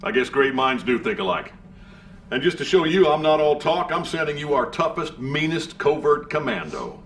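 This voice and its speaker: An actor playing a brash, hawkish American leader in a video game cutscene, breezy and swaggering, with an American accent. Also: male voice, fairly high pitch, lively intonation, moderate pace, fairly bright tone, recorded from a distance. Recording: off-mic with faint background noise.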